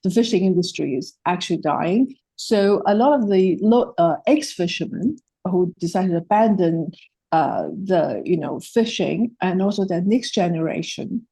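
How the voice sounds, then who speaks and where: A woman's voice: lowish voice, animated, normal speed, vocal fry, warm tone, slightly rough, no echo. A woman with a Hong Kong accent, a native Cantonese speaker, presenting a project in a hesitant way, with many fillers and restarts. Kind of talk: presentation talk.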